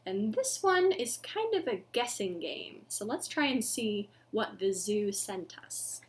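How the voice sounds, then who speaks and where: A woman in her thirties hosting an interactive storytime for young children, with expressive, sing-song delivery and exaggerated reactions. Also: mid-range voice, measured pace, slight background noise. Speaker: library storytime host. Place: living room at home.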